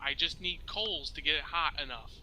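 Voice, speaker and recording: A man's voice: on the high side, moderate pace, bright, forceful tone. A man improvising in a group role-play over voice chat, organizing the other players and giving directions. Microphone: online voice chat. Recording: noisy online voice chat.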